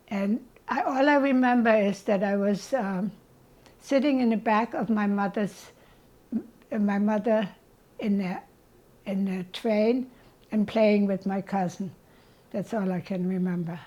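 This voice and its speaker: A woman, telling her childhood story as an interviewee in a slow, reflective way. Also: mid-range voice, mellow, highs cut off. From Germany. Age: 80s. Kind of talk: reminiscing about childhood.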